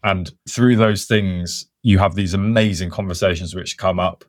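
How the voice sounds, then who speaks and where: A man's voice: low-pitched, steady tone, moderate pace, no room sound, studio quality. A man speaking as a podcast guest, reflective and thinking aloud in a casual interview. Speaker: podcast guest, conservation worker.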